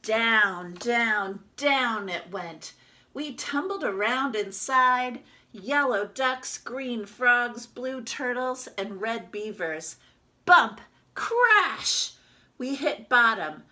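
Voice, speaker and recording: A woman reading a children's picture book as a small, timid yellow toy duck, with expressive, dramatic narration. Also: on the high side, very slow, exaggerated voice. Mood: frightened, excited.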